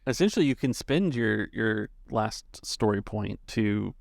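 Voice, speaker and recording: Low, gravelly male game master. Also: animated, unhurried, no room sound, studio quality. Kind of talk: narrating and refereeing a tabletop roleplaying game.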